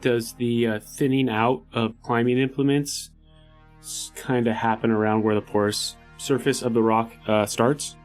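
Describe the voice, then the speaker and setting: A man talks casually as a player in a tabletop roleplaying game, thinking aloud in an average-pitched voice. Music plays behind him. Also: little pitch movement, a little slow. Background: background music.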